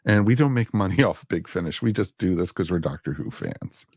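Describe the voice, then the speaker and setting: A man's voice: deep voice, big pitch swings, fairly quick, creaky, darkish tone, breathy edge. A man hosting a podcast in casual chat, conversational and repetitive, often dropping in "you know". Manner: conversational, repeats himself, peppered with "you know". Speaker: podcast host.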